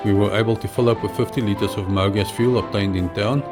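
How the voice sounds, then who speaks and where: Low-voiced man narrating over music. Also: steady tone, quick pace. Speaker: pilot and travel vlog narrator.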